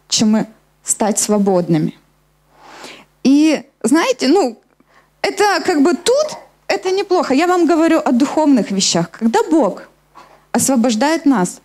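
A woman with a high-pitched voice delivers a sermon from a church stage. She is middle-aged and explains scripture step by step, speaking directly to her listeners through a handheld microphone. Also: a little mellow. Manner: explanatory, addressing listeners with questions. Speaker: preacher. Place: church hall stage at a lectern, indoors.

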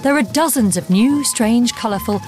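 A woman's voice: on the high side, normal speed, a little mellow. A woman reading scripted documentary narration as the narrator of the film. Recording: music under the narration. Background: background music.

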